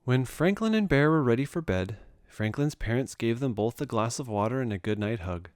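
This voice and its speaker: A man reading a children's story aloud with expressive narration. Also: medium pitch, normal speed, clean tone, dry recording, clean studio sound. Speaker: storybook reader.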